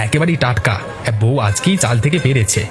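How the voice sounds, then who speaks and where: A man's voice: medium pitch, animated, a little fast, over loud music, close to an everyday voice. A man voicing a simple, obliging, plain-spoken village husband in a cartoon story. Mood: assured. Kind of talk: cartoon voice acting.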